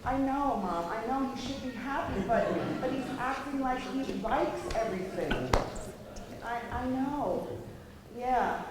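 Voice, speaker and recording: A woman playing a flustered, exasperated wife in a stage comedy, halting and rambling as she talks to her mother. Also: sits high, animated. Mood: flustered, worried. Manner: halting, rambling, scandalized delivery. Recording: noisy, echoey live theater recording.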